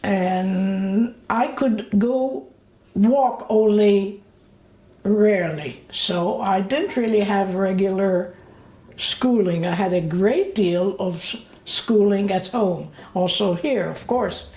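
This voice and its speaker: A woman in her late eighties being interviewed about her memories. She talks haltingly, with many fillers and pauses. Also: medium pitch, warm tone. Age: late 80s. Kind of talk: slow, reflective memories. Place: indoors. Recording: roomy echo.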